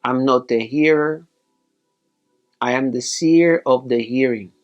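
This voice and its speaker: A man leading a guided meditation as a teacher, giving measured instructions in short, separated phrases. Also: medium pitch, muffled recording, soft background music.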